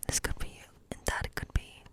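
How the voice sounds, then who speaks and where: A gentle woodland witch, played by a woman, speaks entirely in a soft, breathy whisper. She muses slowly and circles back over her phrases as a tarot reader would. The style is an intimate ASMR fantasy role play. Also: natural voice. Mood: gentle, musing. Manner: slow, gentle, musing, often repeating phrases. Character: kindly woodland witch reading tarot for a guest. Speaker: ASMR performer.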